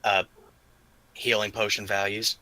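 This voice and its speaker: A man's voice: on the low side, flat delivery, a little slow, pushed and bright, poor audio. A man chatting casually as a player in a tabletop role-playing game.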